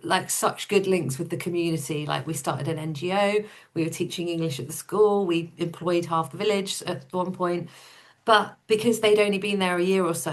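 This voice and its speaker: A woman with a slightly low voice, chatting as an interview guest. Her storytelling is casual and informal, and she puts things plainly and matter-of-factly. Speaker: podcast guest, entrepreneur.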